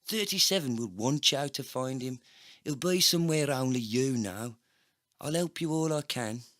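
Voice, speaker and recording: A man's voice: medium pitch, normal speed, fairly bright tone, pitched above the performer's own voice, lightly acted. A man voicing a foul-mouthed, ranting, hard-drinking cyborg agent, aggressive and emphatic, in a comedy sci-fi audio play. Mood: earnest, urgent.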